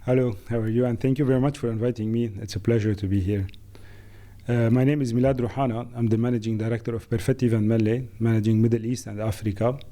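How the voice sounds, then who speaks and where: Very dark-voiced man from Lebanon. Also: mid-range voice, fairly even intonation. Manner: thoughtful, halting, many fillers. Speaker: guest, managing director. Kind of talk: conversational interview answers.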